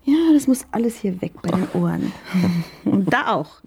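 An actress playing a flirtatious married woman in a radio crime drama, coaxing and teasing, at times dropping into a breathy whisper. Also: medium pitch, animated, normal speed, darkish tone, slight background noise, close to an everyday voice. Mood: seductive.

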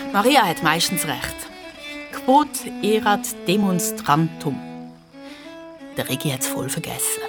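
A woman with a Swiss accent narrating a children's story in a playful, dramatic way. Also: fairly low pitch.

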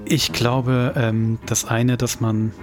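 A man's voice: mid-range voice, level tone, slow, music in the background. A man with a Swiss German accent hosting a podcast in casual discussion. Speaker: podcast host.

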